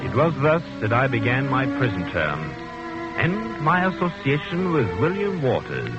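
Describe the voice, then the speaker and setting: An actor playing an arrogant, intellectual murderer in prison, speaking with pompous, condescending precision in a 1940s radio drama. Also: male voice, medium pitch, wildly swooping pitch, normal speed. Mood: smug, self-admiring. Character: arrogant, self-satisfied murderer convict of high intellect. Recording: dull old radio recording, music behind.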